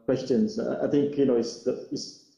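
A man moderates an online forum, hesitating often as he reads out questions. His voice is very dark in tone. The video call audio sounds dull and roomy. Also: medium pitch, steady tone, normal speed, creaky. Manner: hesitant, many fillers.

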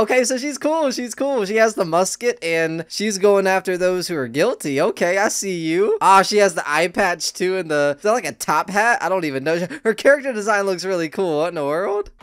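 A man in his twenties giving casual, rambling reaction commentary with animated exclamations. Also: high voice, no echo, clean studio sound. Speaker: reaction video host. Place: small indoor room. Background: trailer music and dialogue.

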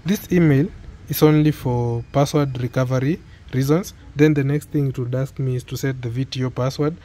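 A man with a Kenyan accent giving an unscripted step-by-step tech tutorial, speaking hesitantly with pauses and repeated phrases. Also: mid-range voice, steady tone, dark tone. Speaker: product tutorial presenter.

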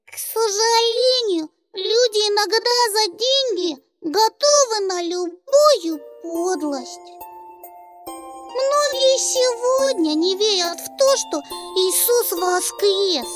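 A woman voicing a gentle childlike angel puppet who tells Bible stories to children in a deliberate, expressive, instructive way. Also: very high voice, over music, full character voice. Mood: regretful. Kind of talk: children's storytelling.

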